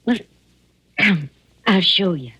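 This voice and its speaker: A woman's voice: medium pitch, lively intonation, slow pace, a bit bright, breathy edge, full character voice. An actress playing an anxious old housekeeper and protective mother, faltering and pleading, in 1940s radio drama style. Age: older. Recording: muffled old radio recording.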